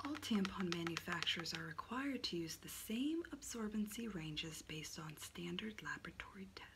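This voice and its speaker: A woman whispering as sassy Aunt Flow. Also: fairly low pitch. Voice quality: soft whispering. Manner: teasing, cheeky. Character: sassy personified monthly period, a female aunt. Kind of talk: ASMR roleplay. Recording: noisy recording.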